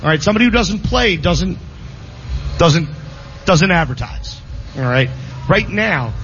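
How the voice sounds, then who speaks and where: A man's voice: a little high, sing-song, measured pace, a bit bright, muffled recording, slight background noise. A man hosting live sports radio, hammering his points by repeating words. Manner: emphatic, repeats phrases for stress. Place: live venue broadcast.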